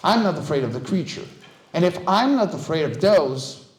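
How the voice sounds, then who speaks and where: A man's voice: a little high, very animated, moderate pace. Middle-aged male speaker, conversational and emphatic conference delivery, roomy live sound. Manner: conversational, emphatic, often checks with listeners. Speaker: guest speaker, vocal stunt performer and director. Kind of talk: casual conference talk. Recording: roomy, echoey live talk recording.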